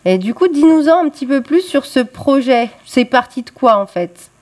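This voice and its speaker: A woman's voice: sits high, expressive, normal speed, darkish tone. A young woman hosting a radio interview, speaking hesitantly with many filler sounds. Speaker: radio host.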